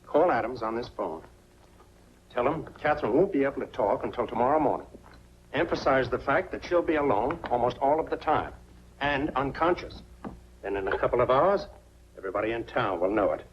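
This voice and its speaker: A man's voice: mid-range voice, lively intonation, fairly quick, a little hiss or hum, lightly acted. An actor playing a protective, plain-spoken small-town policeman, tough but tender, in the earnest style of 1940s film noir. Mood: firm, scheming. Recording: muffled 1940s film soundtrack with music behind.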